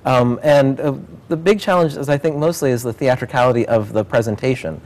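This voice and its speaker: A man's voice: mid-range voice, brisk, a little mellow, low-quality recording. A man speaking as a theater director in an interview, earnest and enthusiastic about his work. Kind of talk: promotional interview about a stage production.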